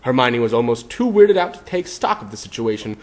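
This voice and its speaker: A man's voice: mid-range voice, very animated, a little fast. A man narrating fan fiction as a mock-dramatic reading. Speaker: narrator, reader.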